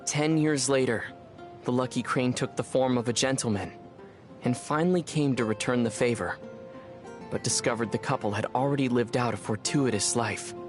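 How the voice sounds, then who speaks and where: A man plays a young hero of a fantasy video game. He speaks in a medium-pitched, measured voice, articulate and composed with a dry, wry edge. Music plays clearly behind him. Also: natural voice. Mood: storytelling. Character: calm, wry young male adventurer hero. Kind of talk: scripted game dialogue and storytelling.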